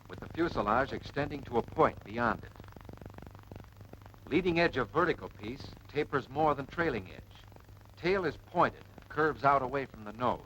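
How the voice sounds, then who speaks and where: An actor playing an eager, respectful young lieutenant reciting in clipped phrases in a 1940s military training film. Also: male voice, medium pitch, fairly bright tone, close to an everyday voice. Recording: faintly noisy vintage film soundtrack.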